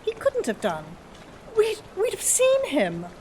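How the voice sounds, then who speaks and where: A woman's voice: very high-pitched, wildly swooping pitch, takes it slowly, a little mellow, heavily acted. A woman acting a touchy, outspoken Victorian lady in an audio play, emphatic and forthright. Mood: incredulous, insistent. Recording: faint background noise.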